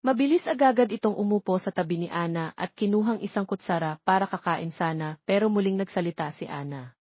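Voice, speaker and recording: A woman's voice: medium pitch, fairly quick, breathy edge, dry recording. A woman with a Filipino accent reading a scripted romance story as an audiobook narrator. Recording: dull lo-fi audio.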